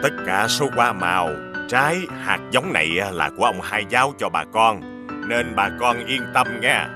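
An actor playing a reassuring village elder who hands out aid in an animated folktale. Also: male voice, lowish voice, moderate pace, close to an everyday voice. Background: loud music.

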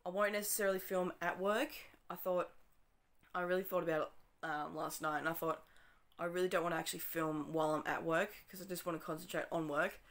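Chatty Australian woman, croaky morning voice. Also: lowish voice, steady tone, brisk. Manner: chatty, rambling, self-correcting with frequent ums. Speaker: vlogger. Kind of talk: casual day-in-the-life vlog. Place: small bedroom at home. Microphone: phone camera, handheld or propped up.

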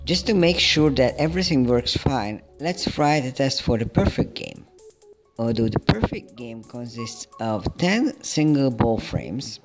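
A man calmly narrating a programming tutorial step by step in a scripted voice-over. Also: medium pitch, unhurried. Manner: calm, methodical, explaining each step. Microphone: voice-over over screen recording. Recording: soft background music.

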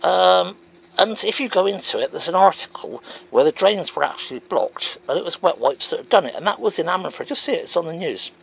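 A man's voice: high voice, fairly quick, gravelly, slightly rough, low-quality recording. A man reading out comments and answering them in an emphatic, wondering way. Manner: animated, wondering aloud, emphatic.

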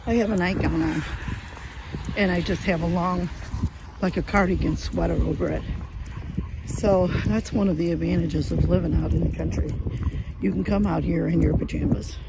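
A woman's voice: on the low side, fairly even intonation, moderate pace, creaky, pauses often. An older woman chatting casually and rambling along as she films on a phone outdoors while walking a dog. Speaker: vlogger. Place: outdoors on a rural driveway on a windy day. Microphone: handheld phone. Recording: loud wind and outdoor noise.

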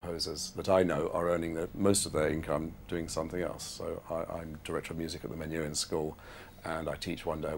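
A man's voice: rumbling low, flat delivery, brisk, gravelly, slight background noise. A man in his fifties talking casually in an interview in a small room. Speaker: music director and conductor. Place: small plain room indoors.

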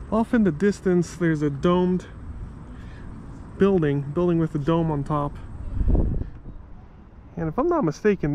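A man's voice: on the high side, a little mellow, a little hiss or hum. A man narrating a walking tour of a city park outdoors as a travel vlogger, talking enthusiastically and correcting himself as he goes. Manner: enthusiastic, rambling with self-corrections.

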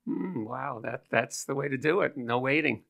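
A man's voice: medium pitch, normal speed, gravelly, a bit bright. An older man hosting a podcast interview from a small studio, asking questions and giving encouraging short replies. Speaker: podcast host. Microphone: studio mic with headphones.

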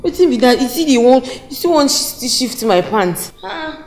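A woman's voice: sits high, lively intonation, normal speed, a little mellow, echoey room. A woman with a Nigerian accent playing a naive, stubborn young village wife in a film drama, plain-spoken, repetitive and questioning. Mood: puzzled. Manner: speaks Pidgin, repetitive, questioning.

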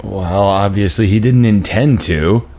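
A man's voice: fairly low pitch, unhurried, darkish tone. A man in his thirties playing a dismissive, hot-tempered father in a script table read, sarcastic and confrontational. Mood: defensive. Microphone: video call.

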